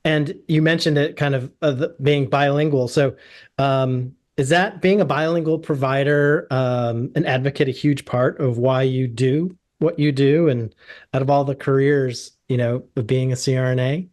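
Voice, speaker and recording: A man hosting a personal finance interview, asking questions in an easygoing way with many pauses and restarts. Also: mid-range voice, fairly even intonation, darkish tone. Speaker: podcast host, financial planner. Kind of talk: conversational interview.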